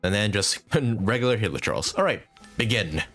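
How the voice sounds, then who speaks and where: A man's voice: lowish voice, lively intonation, moderate pace, a bit bright, faint music behind. A man doing casual live commentary as a game streamer, thinking aloud as he plays and swearing casually.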